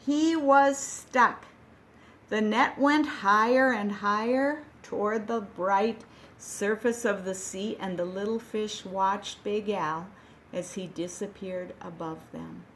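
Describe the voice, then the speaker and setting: A woman reading a children's picture book aloud, gentle and expressive, with dramatic pauses. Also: medium pitch, a little hiss or hum.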